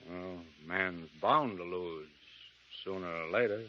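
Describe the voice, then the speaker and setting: An actor playing a calm, commanding frontier lawman in a 1930s radio drama, speaking in a dry, unhurried drawl. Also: low voice, a little hiss or hum, exaggerated voice. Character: cool, steely male frontier gunfighter lawman, middle-aged. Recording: muffled 1930s radio broadcast.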